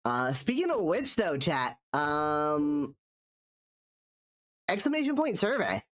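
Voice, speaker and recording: Lively-pitched man, nasal from a cold. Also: takes it slowly. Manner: rambling, restarts sentences often. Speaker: streamer and artist. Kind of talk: casual live-stream chat while drawing.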